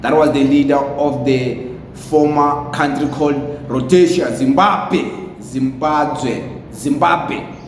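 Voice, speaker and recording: Male commentator, South African accent, fairly high voice, casual and repetitive delivery, recorded in a very echoey space. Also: fairly even intonation, slow. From Limpopo, South Africa. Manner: rambling, repetitive. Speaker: commentator presenting a clip. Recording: echoey, noisy room with rumble.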